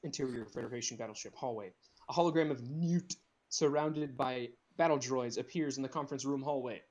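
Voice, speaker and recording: A man's voice: medium pitch, fairly quick, a little mellow, poor audio. A man narrating screenplay directions in a casual group read, dropping in amused asides.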